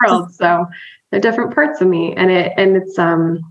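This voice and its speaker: A woman's voice: fairly low pitch, moderate pace. Therapist lady explaining stuff casually, laughs a lot, kinda muffled echoey sound. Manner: upbeat and conversational, laughs often, tags points with "right?". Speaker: therapist and EMDR trainer, podcast guest. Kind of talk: casual explanatory interview talk. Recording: roomy, slightly dull remote audio.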